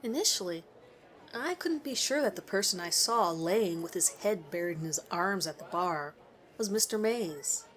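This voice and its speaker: A woman's voice: lowish voice, lively intonation, moderate pace, a bit bright. A woman reading a scripted first-person story aloud as its narrator.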